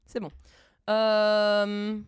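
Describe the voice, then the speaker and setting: A woman streamer chatting casually while she codes live, thinking aloud in halting bits and dropping in English coding terms. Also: medium pitch, monotone, smooth, no room sound, clean studio sound. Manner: thinks aloud, halting, many fillers and restarts.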